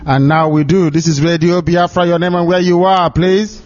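A man's voice: on the high side, level tone, moderate pace, a little mellow. A Nigerian man hosts a live radio call-in show in a slightly raised voice. He is courteous but firm, repeating himself to callers. The sound is dull and lo-fi, like a radio stream. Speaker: radio phone-in host.

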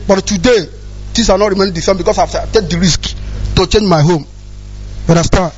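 High-pitched, fast-talking man. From Nigeria. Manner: agitated, repetitive, often asks for understanding. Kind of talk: impassioned personal testimony at a gathering. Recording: dull lo-fi sound with music behind.

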